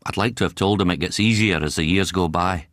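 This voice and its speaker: An actor playing an older, world-weary, compassionate doctor who narrates reflectively in a radio drama. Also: male voice, low-pitched, brisk, fairly bright tone, slight background noise. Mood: rueful.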